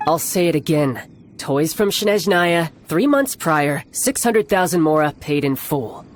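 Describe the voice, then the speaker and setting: An actor playing a cocky, smooth-talking young man in a video game, theatrical and playfully condescending. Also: fairly high pitch, lively intonation, unhurried, a little hiss or hum. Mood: smug. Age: young adult. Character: cocky, smooth-talking young male fighter posing as salesman. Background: game music.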